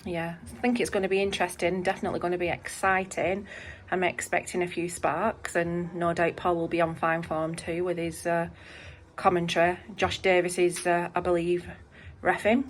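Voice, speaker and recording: A British woman hosting a casual chat, asking questions in a soft, quiet voice. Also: lowish voice, level tone, moderate pace, a little hiss or hum. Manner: soft-spoken, light questioning. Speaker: host.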